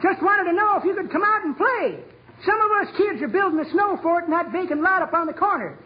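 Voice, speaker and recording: High-pitched, playful old codger. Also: male voice, animated, a little fast. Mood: eager, playful. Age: older. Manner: folksy rustic drawl, chuckling storyteller. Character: folksy, playful old man, childlike and eager. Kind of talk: 1940s radio comedy acting. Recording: noisy, muffled old 1940s radio recording.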